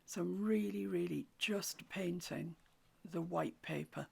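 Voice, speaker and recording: A woman with a croaky voice, teaching a watercolour painting tutorial in soft, often half-whispered instructions. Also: lowish voice, a little slow. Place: home room with a mantelpiece.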